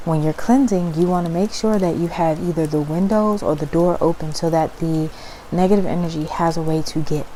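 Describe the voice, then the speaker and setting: A woman with a fairly low, very mellow voice talks softly in an informal ASMR style. Her manner is soothing and she checks in often as she explains. There is faint background noise on the recording. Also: low-quality recording. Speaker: ASMR host and spiritual practitioner.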